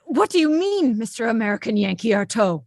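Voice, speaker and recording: Actress as a high-pitched, scornful female accuser. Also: very animated, brisk, creaky, exaggerated voice. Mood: incredulous. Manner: exclamatory, accusing. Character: scornful female interrogator mocking a madman. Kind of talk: theatrical radio-play acting.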